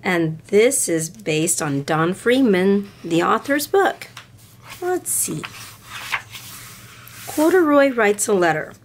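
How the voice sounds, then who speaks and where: A woman reading a picture book aloud to children in a deliberate, expressive way. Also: medium pitch, slight background noise. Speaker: storybook reader for children.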